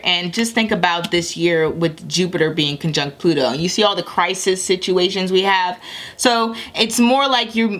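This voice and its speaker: A woman with a fairly low voice reads tarot and astrology for her audience. She rambles in a casual way, restarts her sentences and keeps checking in with "okay?". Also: fairly bright tone. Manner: chatty, rambling, frequent self-corrections and "okay?" check-ins. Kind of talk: casual recorded tarot reading.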